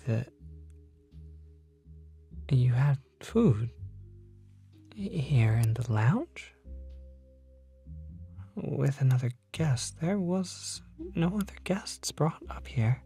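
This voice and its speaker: A performer voicing a polite, flustered restaurant host in an audio roleplay, halting and apologetic. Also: medium pitch, animated, dark tone, husky, faint music behind, voice pushed up, exaggerated voice. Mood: confused, apologetic. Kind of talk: audio roleplay addressed to the listener.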